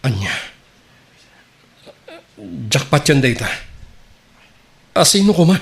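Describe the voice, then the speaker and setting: A man's voice: a little high, sing-song, slow, fairly bright tone, exaggerated voice. A man playing a lovesick, hesitant young suitor with an Ilocano Filipino accent in a radio comedy drama. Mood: hurt, disbelieving. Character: lovesick, earnest young male suitor, persistent though rejected.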